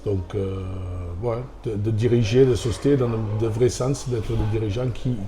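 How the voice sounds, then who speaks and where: An Australian man, a former rugby player turned restaurant owner, answers interview questions in careful, halting French. His voice is low and dark, and he often stops to restart a phrase. A faint hum of ambient noise sits behind him. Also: fairly even intonation.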